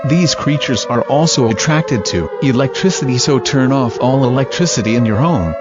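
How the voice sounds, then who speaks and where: A man's voice: mid-range voice, moderate pace, loud music behind the voice. A man reading a scripted emergency broadcast announcement in a formal manner, as an alert announcer. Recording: dull lo-fi audio, loud noise.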